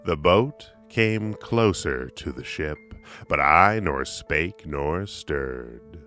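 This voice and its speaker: Really deep guy reading old verse, theatrical, forceful tone, takes his time, some music behind. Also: animated, very slow. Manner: theatrical, with big swells and drops in volume. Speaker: poetry reader. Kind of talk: dramatic poetry audiobook narration.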